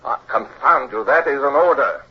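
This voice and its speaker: A man's voice: medium pitch, lively intonation, moderate pace, natural voice. Man acting earnest young naval officer, old radio. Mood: firm, urgent. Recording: dull, hissy old radio recording.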